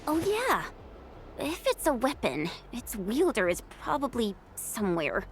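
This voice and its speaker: Animated, high-voiced chatty young woman. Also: a little slow, creaky, a little hiss or hum, full character voice. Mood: curious. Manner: casual, animated, expressive. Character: upbeat, chatty, curious young woman companion. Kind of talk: video game voice acting.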